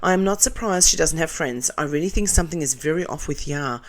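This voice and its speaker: A middle-aged Australian woman with a lowish, nasal, croaky morning voice. She reads out viewer comments in a casual vlog and answers them with blunt, sarcastic asides. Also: animated, quick pace. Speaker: commentary vlogger.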